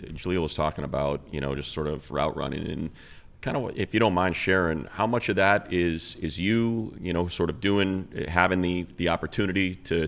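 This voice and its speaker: A man's voice: low-pitched, vocal fry. An American man hosting a sports show, chatting casually and asking long, conversational questions. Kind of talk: casual sports interview chat.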